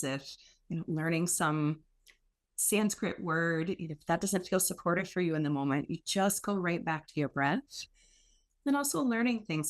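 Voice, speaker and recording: Low-pitched woman guiding a meditation. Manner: soothing, unhurried, with pauses between short phrases. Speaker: meditation teacher. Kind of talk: guided meditation, gentle spoken instruction.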